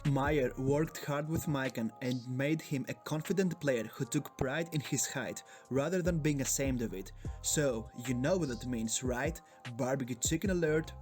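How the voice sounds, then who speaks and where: A man's voice: on the high side, a little mellow. A man from Greece with a Greek accent, narrating a sports video in a hyped, joking, profane way. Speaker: sports YouTuber.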